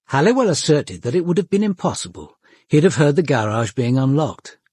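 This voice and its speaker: An actor playing a composed senior British police detective who narrates and questions in a measured, formal radio drama style. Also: male voice, a little high, very animated, darkish tone, slightly rough, no echo, studio quality. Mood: measured, observant.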